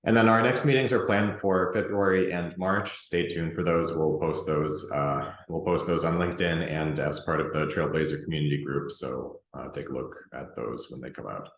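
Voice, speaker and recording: Very low male voice, relaxed webinar presenter, roomy echo. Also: normal speed, vocal fry, hardly any pauses. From Russia, grew up in the US. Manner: relaxed, conversational, many ums and restarts. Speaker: presenter and group organizer.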